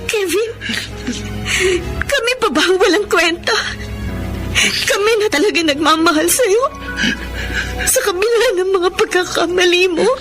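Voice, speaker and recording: High-voiced, earnest, long-suffering wife. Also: female voice, measured pace, long pauses. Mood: hurt reproach. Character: long-suffering, devoted, reproachful wife of a blinded man. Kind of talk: Filipino radio drama acting. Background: dramatic music.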